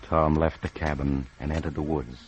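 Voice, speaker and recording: An actor playing a mysterious storyteller host, narrating old-time radio horror in a sly, measured, theatrical way. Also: male voice, rumbling low, fairly even intonation, a little hiss or hum. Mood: suspenseful. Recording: dull vintage radio, music behind.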